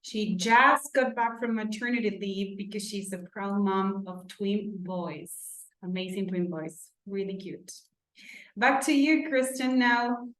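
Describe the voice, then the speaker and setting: A woman hosting a virtual event, reading out introductions in a slightly halting way, correcting herself as she goes. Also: medium pitch, fairly bright tone. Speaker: event host introducing panelists. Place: indoor room on a virtual event call. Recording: roomy, echoey video call audio.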